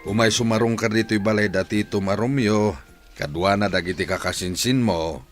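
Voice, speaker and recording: A man playing an affectionate, watchful middle-aged father in a family audio drama, with a measured paternal manner. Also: on the low side, faint music behind, lightly acted.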